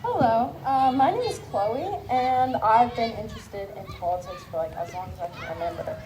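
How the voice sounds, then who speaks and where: A woman's voice: on the high side, animated, moderate pace. A teenage girl, about fourteen, asking a question as an audience member at a public meeting. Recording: noisy live public event sound.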